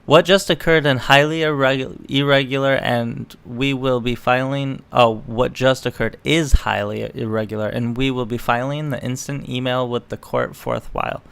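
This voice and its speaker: A man's voice: medium pitch, steady tone, moderate pace, vocal fry, hardly any pauses, a bit bright, clear, resonant tone, dry recording. A man reading news articles aloud and adding casual, sarcastic, opinionated commentary as an online political commentator. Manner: sarcastic, mocking.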